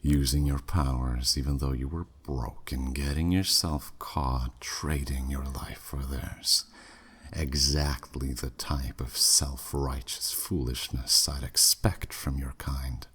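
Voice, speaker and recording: A man's voice: fairly even intonation, slow pace, a bit bright, exaggerated voice. A man voicing a flirty, menacing demon who taunts a captive in a teasing, smug way, in a scripted ASMR roleplay. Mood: scornful. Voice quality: very deep, husky, breathy at phrase ends. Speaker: audio roleplay performer.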